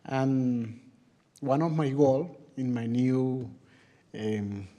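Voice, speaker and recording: Slow, mellow-voiced man, haltingly addressing a council. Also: mid-range voice, muffled recording. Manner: halting, searching for words. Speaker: committee member introducing a speaker. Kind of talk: public comment speech at a council meeting.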